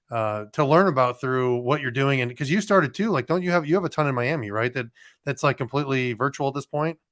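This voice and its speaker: A man's voice: medium pitch, fairly bright tone, no echo, clean studio sound. A man hosting a podcast, talking casually in a rambling way with many restarts. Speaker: podcast host, former firefighter.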